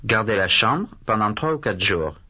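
A man voicing a calm doctor in a language course drill, speaking slowly and clearly, with repeated phrases. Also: on the low side. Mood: measured. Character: calm adult male doctor. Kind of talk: scripted language drill dialogue. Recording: dull lo-fi course tape.

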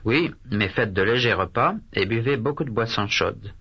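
A man voicing a calm doctor in a language course drill, speaking slowly and clearly, with repeated phrases. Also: lowish voice. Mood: measured. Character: calm adult male doctor. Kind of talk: scripted language drill dialogue. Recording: dull lo-fi course tape.

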